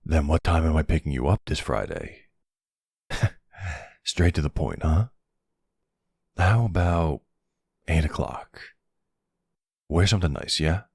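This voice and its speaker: A man playing a charming middle-aged mafia boss, suave and flirtatious, with a gravelly, husky voice, in an intimate ASMR roleplay. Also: extremely low pitch, lively intonation, unhurried, long pauses, darkish tone, no room sound, studio quality, full character voice. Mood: flirtatious, courteous. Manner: suave, courteous, teasing, uses pet names.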